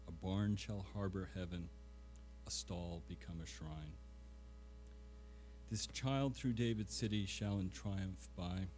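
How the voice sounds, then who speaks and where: A man's voice: low voice, a little mellow. A middle-aged man speaking and reciting as a guest reader at a live poetry event.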